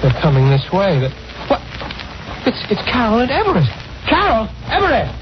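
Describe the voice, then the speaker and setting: An actor playing a frightened, haunted husband in an old radio drama, agitated, stammering and pleading. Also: male voice, high voice, huge pitch swings, a little fast, heavy background noise. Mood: anxious. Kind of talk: 1940s-50s radio drama acting. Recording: muffled old radio recording, music behind.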